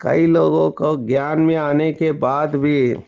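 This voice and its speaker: Slow, clear-voiced male spiritual teacher. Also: fairly high pitch, steady tone, a little mellow, highs cut off. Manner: slow, explanatory, mixing in English words. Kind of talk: spiritual discourse.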